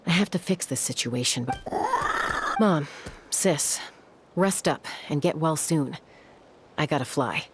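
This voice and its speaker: A woman's voice: low voice, unhurried, long pauses, background noise. A woman playing a confident, blunt young peacekeeper in a video game, decisive and no-nonsense in manner. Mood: determined. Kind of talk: English video game dub. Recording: music behind the voice.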